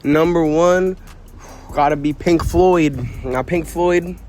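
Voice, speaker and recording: A man's voice: on the high side, slow pace, a little mellow, slight background noise. A young man from Quebec, a musician being interviewed, talking casually with many fillers and restarts. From Quebec, Canada. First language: French. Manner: rambling, lots of fillers and restarts, slangy.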